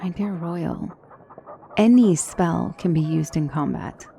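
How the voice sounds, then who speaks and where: Female demonic tutor, played by a woman, fantasy ASMR roleplay: lowish, gravelly-edged voice, poised and courtly, a gently reassuring mentor. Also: expressive, slight background noise, full character voice. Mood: reassuring, instructive. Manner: formal, measured, courtly phrasing. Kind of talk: ASMR fantasy roleplay, scripted character acting.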